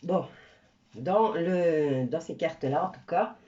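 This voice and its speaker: A woman's voice: low voice, slow, warm tone, muffled recording. A woman with a stuffy cold doing a casual tarot reading for viewers, chatty and rambling with many hesitations. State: stuffy nose from a cold, coughing. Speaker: tarot card reader.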